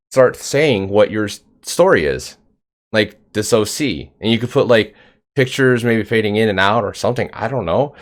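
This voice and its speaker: A man hosting a casual interview podcast, talking in a loose, rambling way with lots of fillers. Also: medium pitch. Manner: rambling, filler-heavy, upbeat. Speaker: podcast host.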